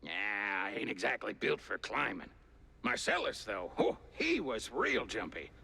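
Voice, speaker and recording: Cartoon game goon, dim and good-natured, rough husky rasp, wildly swinging pitch, rambling and groping for words. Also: male voice, huge pitch swings, a little slow, bright, forceful tone, heavily acted. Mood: rambling, hurried. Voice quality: gravelly, husky. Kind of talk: cartoon video game acting.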